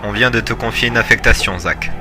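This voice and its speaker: A man's voice: lowish voice, a little fast, music in the background, natural voice. A man voicing a stern, principled senior soldier and mentor, composed and serious, in an amateur video game dub. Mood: commanding.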